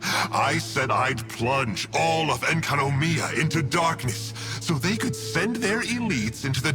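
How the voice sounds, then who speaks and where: Game villain, male actor: flippant schemer, mocking and theatrical, very lively melody in the delivery, music underneath. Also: medium pitch, close to an everyday voice. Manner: breezy, mocking, theatrical, toying with listeners. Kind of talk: video game voice acting. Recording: music behind the voice.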